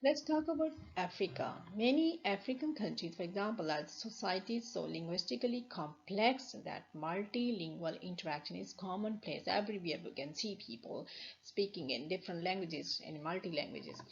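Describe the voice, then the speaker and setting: Lowish, gravelly Pakistani woman lecturing. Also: animated. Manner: explains slowly with frequent restarts and fillers. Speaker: university lecturer. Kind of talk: recorded lecture over slides. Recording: dull lo-fi recording.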